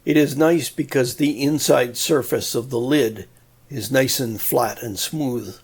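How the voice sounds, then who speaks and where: A man's voice: medium pitch, unhurried, a little hiss or hum. A man narrating a DIY electronics explainer in a plain-spoken, methodical and mildly folksy manner. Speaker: electronics hobbyist presenter.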